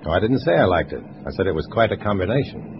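An actor playing a tough, guarded undercover agent, terse and hardboiled, in an old-time radio detective drama. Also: male voice, low voice, big pitch swings, fast talker, noisy background. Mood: clipped, wary. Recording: muffled old radio recording with music.

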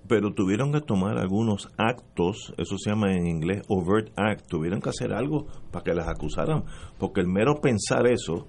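Man, low creaky voice, interviewer, probing, conversational. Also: animated, brisk, a little mellow. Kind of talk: conversational questioning.

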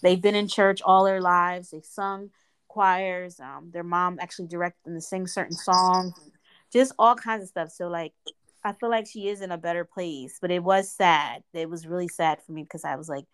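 A woman's voice: lowish voice, fairly even intonation, moderate pace, clean tone. A woman co-hosting a podcast, congested from a cold, rambling informally with many fillers. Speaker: podcast co-host. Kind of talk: casual chat.

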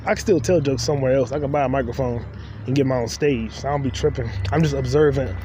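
A man's voice: medium pitch, fast talker, mellow. A young man hosting a podcast, riffing loosely in a rambling, joking solo monologue while walking outdoors. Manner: loose, joking, talks to himself. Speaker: podcast host. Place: outdoors on city streets. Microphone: phone recording while walking. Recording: street traffic noise behind.

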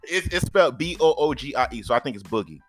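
A man's voice: mid-range voice, lively intonation, quick pace, soft background music. A man co-hosting a casual podcast, easygoing and rambling with many ums. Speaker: podcast co-host and clothing brand owner.

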